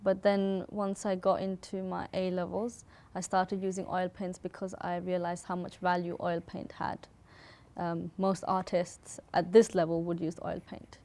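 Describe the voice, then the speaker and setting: A woman's voice: fairly low pitch, monotone, a little slow, a little mellow. A woman from Sri Lanka answering as an interview guest in a casual, informal way, sometimes searching for words and repeating short phrases. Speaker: interview guest, artist and photographer.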